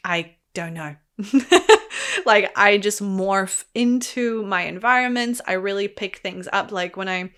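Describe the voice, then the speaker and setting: A woman's voice: mid-range voice, measured pace, fairly bright tone, clear, resonant tone. A young woman hosting a solo podcast, with an international, American-leaning accent with a slight New Zealand twang, talking in a rambling, chatty way, full of fillers and easy laughs. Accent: American-leaning international English with a slight New Zealand twang. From born in Poland, grew up in Germany. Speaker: podcast host. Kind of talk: casual solo podcast monologue.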